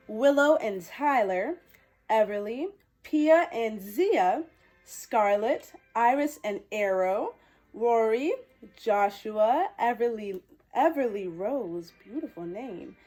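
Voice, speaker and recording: A woman with a fairly high voice reads to children at a very slow, deliberate pace. Her delivery is expressive and sweet, as a storytime host speaking to young viewers. Faint music plays behind her. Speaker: children's storytime host dressed as a princess character. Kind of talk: animated children's picture-book reading and direct address to young viewers.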